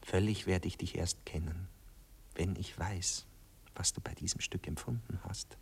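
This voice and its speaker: Radio play: dreamy, affected male poet-lover, Viennese, low and very breathy, hushed sentimental delivery. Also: fairly even intonation, unhurried, in a whisper, exaggerated voice. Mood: coaxing, self-important. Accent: Austrian, Viennese. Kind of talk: theatrical radio play acting.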